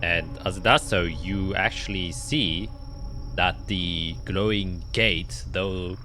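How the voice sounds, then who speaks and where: A man narrating a tabletop fantasy game as game master, in a halting, descriptive storytelling manner. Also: sits low. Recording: music playing behind the voice. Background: loud background music.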